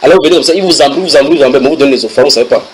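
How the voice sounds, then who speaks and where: A man preaching a sermon to a congregation, reading English passages aloud and translating them with lively asides to his listeners. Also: mid-range voice, very fast, slight background noise. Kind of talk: sermon teaching.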